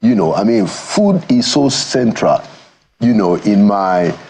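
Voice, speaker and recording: Nigerian man, husky voice, low-pitched, measured thoughtful delivery, studio interview guest. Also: sing-song, darkish tone. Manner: measured, thoughtful, stops to find words. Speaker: studio guest, former government aide. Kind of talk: morning TV interview.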